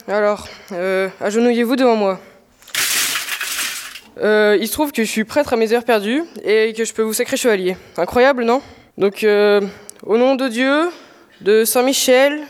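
A woman's voice: on the high side, clean tone, long reverb tail, lightly acted. A woman playing a flustered, exasperated bartender in an audio drama, hesitant and exclamatory.

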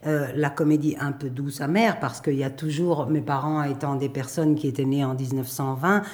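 A middle-aged woman, speaking thoughtfully in a radio interview, pausing and restarting often. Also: low voice, steady tone, a little mellow. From Belgium. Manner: thoughtful, hesitant with many pauses and restarts. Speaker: playwright and performer.

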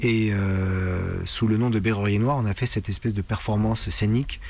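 A man's voice: lowish voice, fairly even intonation, vocal fry. A middle-aged man from France reminiscing in a documentary interview, searching for words and repeating himself as he goes. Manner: halting, searching for words with repetitions. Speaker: punk musician interviewee. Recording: loud music behind the voice.

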